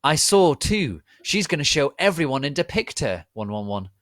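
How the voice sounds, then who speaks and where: A man voicing an angry, hot-headed teenage boy wizard in theatrical shouted outbursts during a dramatic story reading. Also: fairly high pitch, very animated, normal speed, no echo, studio quality, voice pushed up. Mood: indignant.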